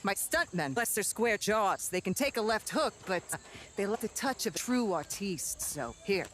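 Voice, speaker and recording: Game role: brash male film director, very animated delivery, gushing showman, slightly noisy background. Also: sits high, moderate pace. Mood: gushing, pushy. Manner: theatrical, gushing, dismissive of details. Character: brash, fast-talking, flattering male movie director. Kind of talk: video game cutscene acting.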